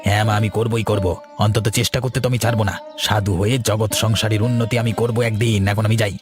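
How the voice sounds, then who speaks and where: A man's voice: on the low side, flat delivery, fairly quick, over music, natural voice. A man voicing a stubborn, idle grown village son in a cartoon, argumentative and self-important. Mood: stubborn, lofty. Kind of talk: cartoon voice acting.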